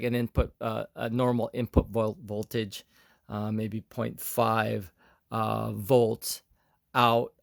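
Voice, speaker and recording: A man hosting a casual how-to demonstration in a halting way, thinking aloud as he goes. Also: mid-range voice, vocal fry, clear, resonant tone, dry recording, clean studio sound. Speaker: video tutorial host.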